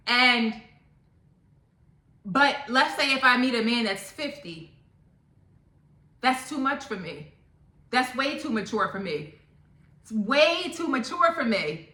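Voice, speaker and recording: A woman's voice: a little high, lively intonation, measured pace, pauses often, pushed and bright. A woman in her thirties giving a casual opinion monologue to viewers, blunt and emphatic, often repeating points for emphasis. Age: 30s. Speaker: topic channel vlogger. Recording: echoey room.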